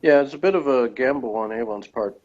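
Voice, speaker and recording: A man's voice: medium pitch, fairly quick, darkish tone. Man chatting over dull, lo-fi audio. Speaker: co-commentator on a review show. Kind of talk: casual conversational commentary. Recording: dull lo-fi online call audio.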